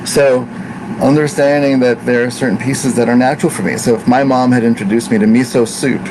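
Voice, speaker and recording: An American-born man whose first language is Italian, teaching Ayurveda in an unhurried, reflective way with many fillers and restarts. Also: medium pitch, fairly even intonation. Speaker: Ayurveda practitioner. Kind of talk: informal teaching talk. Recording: noisy room with air conditioning hum.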